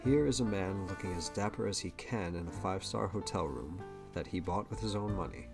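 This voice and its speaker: A man's voice: sits low, fairly quick, vocal fry. A man reading scripted narration for an audio drama. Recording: guitar music behind the voice.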